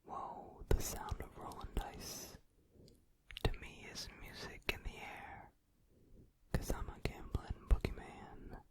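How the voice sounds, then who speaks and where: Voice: takes it slowly, natural voice. A performer whispering the part of a cruel, gambling male boogeyman who gloats in singsong. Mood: gloating. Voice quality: whispered, unvoiced. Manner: mocking, singsong. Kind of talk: whispered song cover, ASMR.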